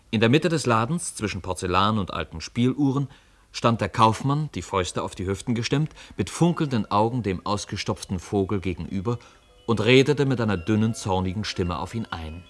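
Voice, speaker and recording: Male narrator for radio drama: very low voice, measured, articulate literary storytelling. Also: expressive.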